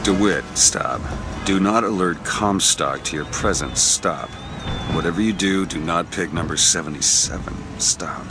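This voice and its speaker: An actor playing a gruff, wary American drifter in a video game, muttering tersely and deadpan. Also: male voice, deep voice, measured pace. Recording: music over the voice.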